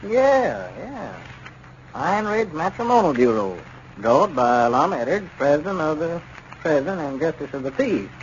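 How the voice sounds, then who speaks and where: An actor playing a genial, teasing small-town storekeeper with a rural Southern accent and a neighborly manner in a 1930s radio comedy. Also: male voice, fairly high pitch, big pitch swings, measured pace. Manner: folksy, neighborly. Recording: muffled, hissy 1930s radio recording.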